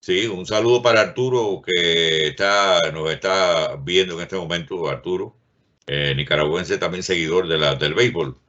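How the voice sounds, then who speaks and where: A man's voice: low voice, moderate pace, bright, forceful tone. A man hosting a casual chat, speaking hesitantly with many fillers. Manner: affirming, filler-heavy, hesitant. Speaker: host. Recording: dull lo-fi recording.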